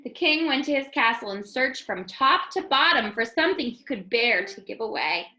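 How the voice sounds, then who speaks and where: A woman reading a children's story aloud as an expressive narrator. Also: a little high, normal speed. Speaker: storybook reader. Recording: roomy, echoing room sound.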